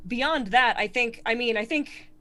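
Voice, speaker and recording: Fast-talking woman with a Connecticut accent. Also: fairly high pitch, fairly bright tone. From Connecticut, USA. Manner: fast, articulate, self-deprecating, laughs often. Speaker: stand-up comedian, guest. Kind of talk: casual interview.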